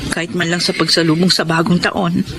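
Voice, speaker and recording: A woman's voice: fairly low pitch, level tone, a little fast, background noise, natural voice. A woman voicing a devoted wife and mother hurt by her husband's cheating, in a radio drama with an emotional, pleading manner. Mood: sorrowful. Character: long-suffering wife, devoted mother, betrayed. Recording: loud music bed under voice.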